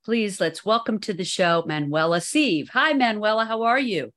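A woman's voice: medium pitch, animated, moderate pace, fairly bright tone. A woman hosting an interview podcast, chatty and upbeat, telling anecdotes as she goes. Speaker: podcast host. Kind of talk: casual interview chat.

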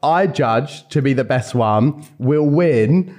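Cheeky, lively young man. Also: mid-range voice, slow. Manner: cheeky, teasing, excitable. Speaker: podcast host. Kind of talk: casual chat.

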